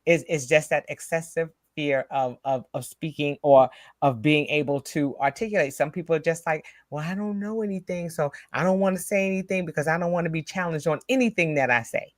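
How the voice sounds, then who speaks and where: A soft-spoken woman with a lowish voice, sharing thoughts earnestly on a live stream. Her phrasing is loose, full of small restarts. The sound is close and dry, with no room around her. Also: a little fast, no echo. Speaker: co-host of a spiritual live show. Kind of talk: casual live-stream chat.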